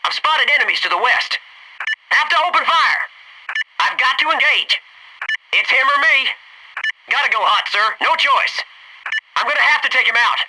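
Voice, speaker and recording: An actor playing a folksy, dutiful Navy SEAL commando, giving short, clipped military callouts in a video game. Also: male voice, extremely high pitch, lively intonation, normal speed, pauses often, distant mic, background noise. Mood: tense, urgent.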